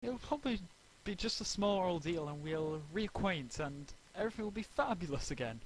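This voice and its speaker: A man's voice: high voice, expressive, a little fast, a little hiss or hum, low-quality recording. A man voicing a prissy, flustered female cartoon pony with hesitant, affected politeness. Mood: worried.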